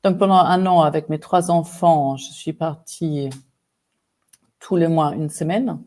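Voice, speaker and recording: German-accented woman, mellow storyteller. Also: low-pitched, a little slow. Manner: lively, animated, many fillers and restarts. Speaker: guest, executive coach. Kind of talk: casual storytelling interview.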